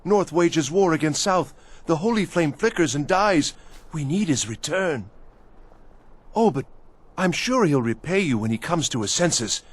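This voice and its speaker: Casting: desperate, devoted servant to a mad master, game role; higher-set husky voice, theatrical, lurching from pleas to awe. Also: male voice, lively intonation, a little slow. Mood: imploring. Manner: theatrical, swinging from pleading to hushed awe. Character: frantic, pleading servant devoted to his vanished mad master. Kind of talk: video game character acting.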